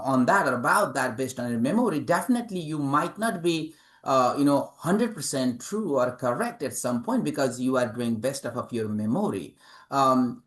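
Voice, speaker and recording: Hesitant man with a Nepali accent. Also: on the high side. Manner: hesitant, many fillers and repeated words. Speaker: interviewed author and professor. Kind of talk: interview answers.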